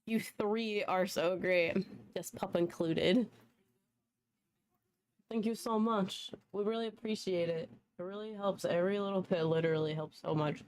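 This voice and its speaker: A woman's voice: medium pitch, a little slow, darkish tone, a little hiss or hum. A young woman who is losing her voice, chatting casually and laughing often as she hosts a live tarot reading stream. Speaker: tarot reader and livestream host. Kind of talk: casual livestream chat.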